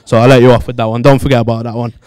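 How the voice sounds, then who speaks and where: A man's voice: mid-range voice, flat delivery, very fast, a little mellow, smooth, no echo. Mancunian male host on a dry mic. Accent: Manchester. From Manchester, England. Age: 20s. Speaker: studio show host. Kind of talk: chatty audience interviewing. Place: dark studio theatre with tiered seats. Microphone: handheld microphone.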